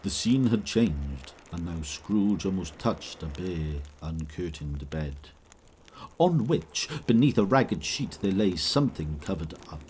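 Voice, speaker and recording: A solemn storyteller narrating an audio drama. His voice is deep and grave, with measured dramatic delivery. A faint noise sits underneath. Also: animated, darkish tone.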